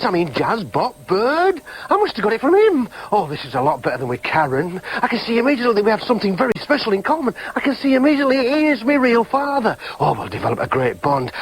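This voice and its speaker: An actor playing an eager, needy young man who craves his father's approval, excitable and stammering over first words in a naturalistic radio drama. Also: high-pitched, poor audio. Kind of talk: naturalistic radio drama acting, dialogue and inner thoughts.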